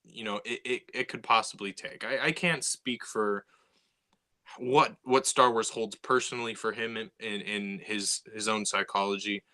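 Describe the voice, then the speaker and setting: A man with a bright, forward voice co-hosts a podcast in casual conversation. He talks with animated energy, stumbling over restarts and repeated words as his thoughts run ahead. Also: on the low side, normal speed. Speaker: podcast co-host.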